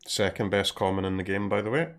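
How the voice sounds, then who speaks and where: A Scottish man talking through his game as he plays, in a lowish voice. He thinks out loud, trails off into quiet mutters and keeps clearing his throat. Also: fast talker. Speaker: game streamer. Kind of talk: casual live commentary while playing.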